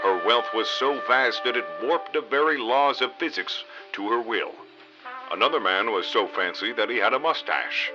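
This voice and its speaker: An actor playing a hapless, dim novice private detective, narrating a parody noir radio drama in deadpan melodrama. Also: male voice, mid-range voice, moderate pace, close to an everyday voice. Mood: brooding narration. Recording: dull lo-fi audio under loud music.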